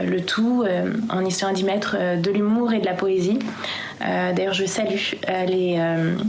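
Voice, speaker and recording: A woman's voice: medium pitch, gravelly, a little hiss or hum. A woman playwright giving a reflective recorded message about her play, with frequent hesitations. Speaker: playwright and director.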